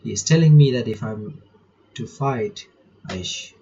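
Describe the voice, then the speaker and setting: A man doing a visual novel playthrough, reading the text aloud with casual asides, a bit halting and stumbling over words. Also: mid-range voice, animated, dark tone. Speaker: reaction channel host. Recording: dull lo-fi mic, faint background noise.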